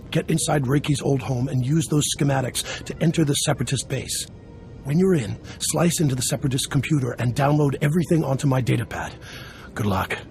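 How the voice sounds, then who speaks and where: Man playing a gravelly, smooth-talking smuggler boss. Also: mid-range voice, darkish tone, close to an everyday voice. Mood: businesslike, wry. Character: jovial, scheming male smuggler boss handing out jobs. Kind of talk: video game voice acting. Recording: faint music behind the voice.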